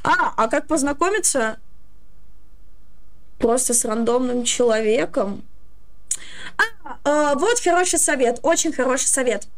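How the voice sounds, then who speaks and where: High-voiced young woman explaining casually. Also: lively intonation, measured pace, long pauses. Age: 20s. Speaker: streamer giving advice.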